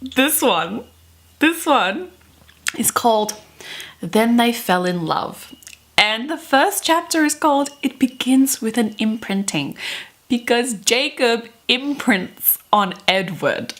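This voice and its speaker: A woman's voice: fairly high pitch, sing-song, slow pace. A woman, a chatty, wry book vlogger mixing casual talk with dramatic readings. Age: 20s. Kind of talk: casual chat mixed with dramatic readings of fan fiction. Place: small bedroom, indoors.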